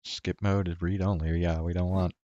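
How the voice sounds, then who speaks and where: A man's voice: deep voice, little pitch movement, normal speed, dark tone, no echo, lo-fi sound. A man giving casual game commentary as a streamer, muttering hesitantly to himself.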